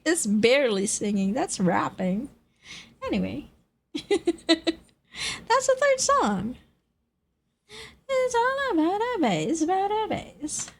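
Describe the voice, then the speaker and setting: A young woman chatting on a karaoke stream, giggly and unsure of herself between songs. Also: high voice. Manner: chatty, giggly and self-doubting. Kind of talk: casual karaoke stream chat. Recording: added reverb with music behind.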